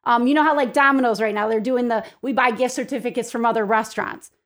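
A woman's voice: fairly high pitch, fairly even intonation, fast. A woman giving enthusiastic, informal answers as a guest in a casual interview, speaking as the president of a local organization.

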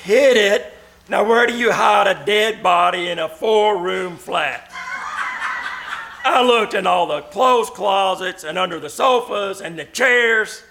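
A man's voice: high-pitched, takes it slowly, gravelly, pushed and bright, soft background music, exaggerated voice. An actor playing a brusque, skeptical city policeman with blunt street talk, in old-time radio suspense style. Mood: skeptical, mocking. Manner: blunt, colloquial, dismissive.

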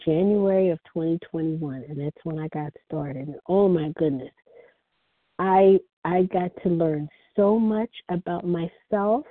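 Soft-spoken woman over a phone line. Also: fairly low pitch, a little slow, no room sound. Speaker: speaker sharing at a recovery phone meeting. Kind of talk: personal recovery share.

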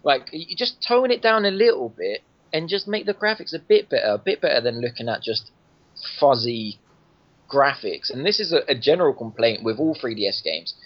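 A man's voice: medium pitch, big pitch swings, fairly quick. A man chatting casually on a gaming podcast, rambling with lots of restarts and laughing at his own jokes. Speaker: podcast guest reviewing a game. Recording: lo-fi podcast audio.

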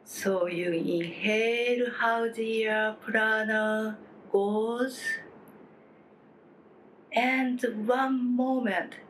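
Woman, guided meditation, extremely unhurried, short phrases with long gaps, calm instruction, medium pitch, some background ambience noise. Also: rough recording. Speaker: meditation teacher. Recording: clear steady background noise, room ambience.

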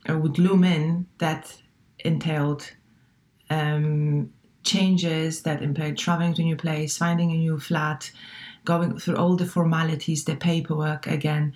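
A woman's voice: sits low, level tone, unhurried, a little mellow. A woman guest speaking English as a second language, chatting thoughtfully with many fillers and restarts. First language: not English. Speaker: guest, relocation coach. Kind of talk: casual interview chat.

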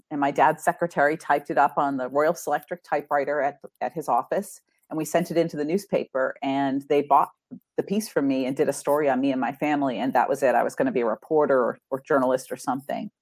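A woman's voice: a bass voice, steady tone, quick pace, darkish tone, dry recording. A middle-aged woman from Massachusetts, an author and ghostwriter, chatting in an upbeat way and laughing easily as an interview guest. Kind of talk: casual interview chat.

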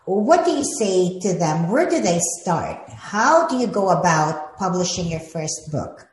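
A woman's voice: fairly low pitch, sing-song, measured pace, a little hiss or hum. A woman hosting an interview, asking questions in an encouraging way with frequent affirming murmurs. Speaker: interview host. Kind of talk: interviewing a guest. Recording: echoey room.

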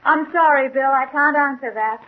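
A woman playing an enigmatic young woman with a soft, honeyed voice in 1940s radio drama style. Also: sits high, normal speed, natural voice. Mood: calm, regretful. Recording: dull, hissy 1940s radio recording.

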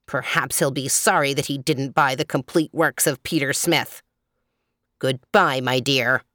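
Woman as a smug, lowered-voice little man. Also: unhurried, a bit bright, dry recording, studio quality. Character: odd little man, smugly amused and mock-courteous. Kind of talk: children's storybook character reading.

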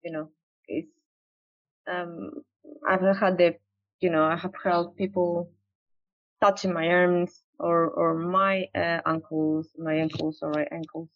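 A woman speaks as a podcast guest in casual conversation, often hesitating and restarting her sentences. Her voice sits slightly low for a woman. The recording is dry with no room sound and has a dull, lo-fi tone. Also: level tone, slow, long pauses, no echo. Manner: hesitant, many restarts and fillers. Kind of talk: casual interview chat.

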